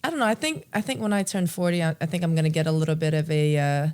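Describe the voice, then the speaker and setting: A woman in her late thirties talks through a relaxed, joking conversation with her husband on their podcast. Her voice sits a little low for a woman, and she teases him with an easy laugh. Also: racing, smooth. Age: 30s. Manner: easygoing, teasing, laughs often. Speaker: podcast co-host. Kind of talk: casual chat with her husband.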